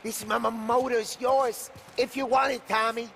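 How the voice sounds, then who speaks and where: A man's voice: very high voice, level tone, moderate pace, gravelly, a bit bright, a little hiss or hum, full character voice. A man playing a nervous, friendly, overworked car mechanic with a stutter and hesitant, informal speech in a video game drama. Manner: hesitant, informal working-class talk.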